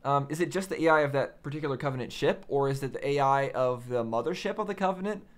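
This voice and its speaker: Man thinking aloud, medium-pitched voice. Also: fast. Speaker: reaction video host. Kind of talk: casual reaction commentary.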